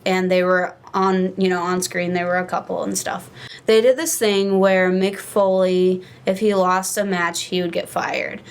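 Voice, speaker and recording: A woman's voice: on the low side, flat delivery, measured pace, smooth. Woman in her twenties, casual podcast co-host. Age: 20s. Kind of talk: casual panel chat.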